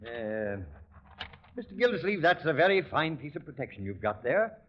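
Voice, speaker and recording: A man's voice: on the high side, big pitch swings, normal speed, a little hiss or hum. An actor playing a persistent, evasive small-town insurance salesman with folksy sales patter, in 1940s radio comedy style. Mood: salesmanlike, evasive. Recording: muffled old 1940s radio broadcast.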